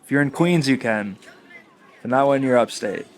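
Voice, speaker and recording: A man co-hosting a casual podcast, mid-pitched and conversational. He thinks aloud in long, looping sentences and teases his partner now and then. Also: moderate pace. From United States. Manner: teasing, thoughtful, speaks in long winding sentences. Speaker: podcast co-host.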